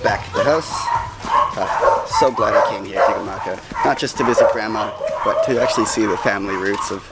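A man's voice: fairly low pitch, brisk, gravelly, very noisy. A man in his thirties, chatty and upbeat, narrating a travel vlog to a hand-held camera outdoors, often laughing. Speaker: travel vlogger. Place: outdoors on town streets. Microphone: camera held at arm's length. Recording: music loud under the voice.

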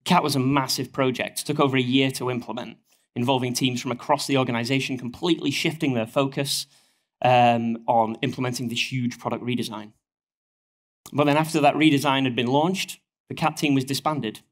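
A man in his thirties with a broad northern English accent presents at a conference lectern, speaking into a headset mic. He is getting over a cold, and his delivery is steady and explanatory, scattered with ums and uhs. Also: mid-range voice, fairly quick. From northern England. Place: stage of a conference hall, at a lectern.

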